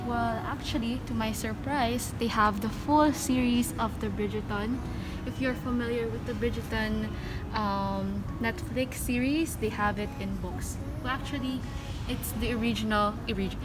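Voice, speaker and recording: A woman's voice: fairly high pitch, steady tone, moderate pace, heavy background noise. A woman with a Filipino accent chatting casually as a book vlogger, full of fillers and self-corrections. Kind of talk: casual vlog chat.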